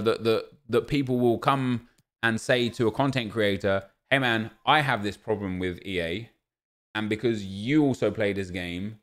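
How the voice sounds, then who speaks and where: A man in his thirties, a gaming content creator, talking earnestly over gameplay at home and often stumbling over repeated words. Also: lowish voice. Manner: earnest, ranting, repeats words when restarting. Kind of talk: casual commentary over gameplay. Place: home streaming room.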